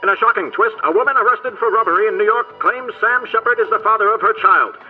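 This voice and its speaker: Through a vintage radio filter comes a breathless 1950s newsman. He rattles off scandal at great speed in a high, rough voice, with music underneath, as in an old-time bulletin. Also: fast talker. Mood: urgent, scandalized. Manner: breathless, dramatic. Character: fast-talking sensational 1950s male radio newscaster. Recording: tinny, band-limited vintage radio sound over music.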